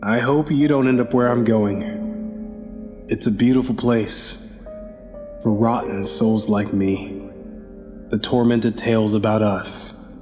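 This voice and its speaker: A man playing an anguished, haunted lover in an audio drama, with emotional, halting delivery. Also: fairly low pitch, fairly even intonation, big-room sound. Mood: mournful. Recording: music under the voice. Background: background music.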